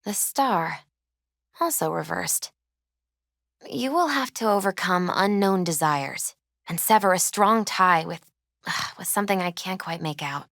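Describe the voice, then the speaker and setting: Game casting: soft-spoken young female fortune-teller, lowish voice, earnest and grieving, measured mystical delivery, close dry sound. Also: lively intonation, creaky, no echo, full character voice. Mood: solemn, foreboding. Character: gentle, spiritual young woman tarot reader, grieving and earnest. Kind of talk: video game character dialogue and tarot readings.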